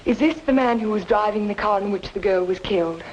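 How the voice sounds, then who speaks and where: An actress playing a brisk, determined woman with a formal, deliberate manner in an old-time radio noir drama. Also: mid-range voice, highs cut off, lightly acted. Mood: firm, formal. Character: brisk, determined woman presenting a surprise witness. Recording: faintly noisy old radio recording.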